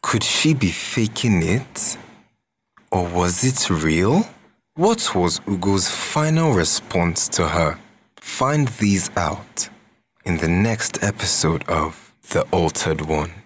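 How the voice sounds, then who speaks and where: A man's voice: low voice, lively intonation, takes it slowly, a little hiss or hum. A man reading scripted narration for an audio drama.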